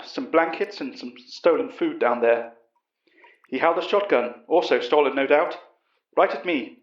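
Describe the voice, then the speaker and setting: A man playing a calm, commanding professor, giving measured, literary first-person narration of a horror story. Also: fairly high pitch. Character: calm, commanding British professor recounting a monster investigation. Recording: dull, roomy recording.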